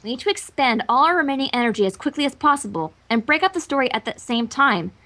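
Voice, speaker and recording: A woman puts on a brainy little cartoon unicorn mare. She is bossy and wordy, in a high, bright voice that moves around a lot. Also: lively intonation, fast talker, heavily acted. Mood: planning. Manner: wordy, explanatory.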